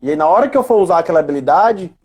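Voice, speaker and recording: A man with a Brazilian accent explaining things in a casual live discussion, deliberate and pausing between phrases. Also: a little high, animated, darkish tone, husky. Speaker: live stream discussion participant. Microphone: live video call.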